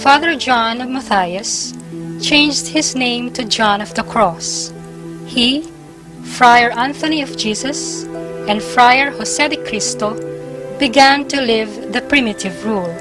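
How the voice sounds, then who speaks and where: A woman reads scripted historical narration over clear music. Her voice is fairly high, and her phrasing is formal and deliberate. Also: poor audio.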